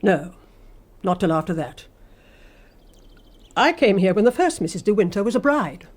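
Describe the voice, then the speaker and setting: A gaunt, older English housekeeper in a radio play. She speaks formally and with careful measure, in a middle-pitched voice. Her deference is cold and severe. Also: sing-song, a little mellow. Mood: reserved, pointed. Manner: formal, measured, icily polite. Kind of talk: radio drama acting.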